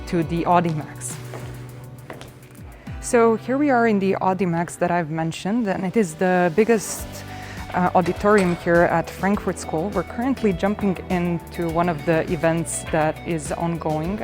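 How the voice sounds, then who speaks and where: A woman's voice: lowish voice, moderate pace, over music. A woman, presenting a campus tour in an upbeat, welcoming manner as a student guide. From Serbia. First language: Serbian. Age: 20s. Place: university campus, outdoors and in large halls.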